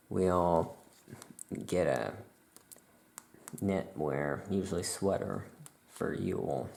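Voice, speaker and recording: A performer voicing a forgetful, easygoing witch shopkeeper in a soft-spoken, halting ASMR roleplay, rambling absent-mindedly. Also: low voice, vocal fry, full character voice.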